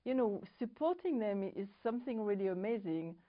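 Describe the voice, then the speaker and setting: A middle-aged woman from France speaks English with a clear French accent, pausing to hunt for the right word. She presents to camera in a studio on a lapel mic, and the sound is a bit dull and lo-fi. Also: medium pitch, lively intonation. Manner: halting, searching for English words. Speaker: co-host. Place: studio set with bookshelves.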